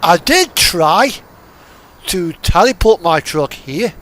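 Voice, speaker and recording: Higher-pitched man chatting through gameplay. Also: very animated, slow pace, a bit bright, slight background noise. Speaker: video game player and host. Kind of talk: casual gameplay commentary.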